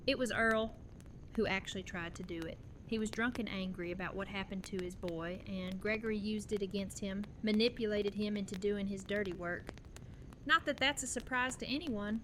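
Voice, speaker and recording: A woman's voice: mid-range voice, normal speed, fairly bright tone, natural voice, low-quality recording. A woman playing a tender, resolute young widowed mother in an audio drama, speaking in an earnest, conversational way. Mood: serious, reflective. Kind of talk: fan audio drama dialogue. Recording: clear background noise and ambience.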